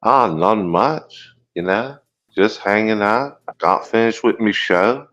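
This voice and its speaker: A man's voice: on the low side, takes it slowly, a bit bright. A man bantering casually in a playful, teasing, mock-indignant manner. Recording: slightly dull audio with faint music.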